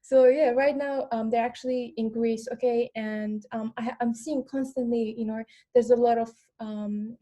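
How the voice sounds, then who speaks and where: A young Afghan woman, speaking English as her second language, talks informally on a panel. Her voice is fairly high but mellow, with little swing in pitch. She moves haltingly, repeating words and leaning on casual fillers. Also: fairly even intonation. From Afghan, lived in Iran. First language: not English. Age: young adult. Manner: halting, repeats words, frequent "like" and "you know". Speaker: panel speaker, former refugee. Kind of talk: informal panel talk, personal account.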